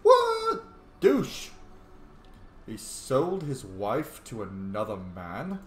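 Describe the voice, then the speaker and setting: Casting: male let's player, mid-pitched and very animated, informal game commentary, roomy echo. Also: drawn-out words. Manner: informal, reactive, explains lore to viewers. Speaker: gaming host, role-playing let's player. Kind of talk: casual game playthrough commentary. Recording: echoey room with faint noise.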